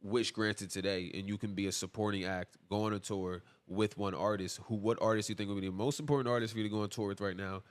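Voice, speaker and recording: Relaxed young man asking rambling questions. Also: on the low side, fast, few pauses. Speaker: podcast co-host. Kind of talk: casual podcast interview.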